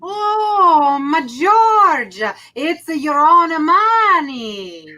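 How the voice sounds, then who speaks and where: A woman's voice: very high voice, sing-song, extremely slow, pushed and bright, heavily acted. A woman playing a timid, worried working-class wife in a live radio play, hesitant and plaintive. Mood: hesitant, grateful.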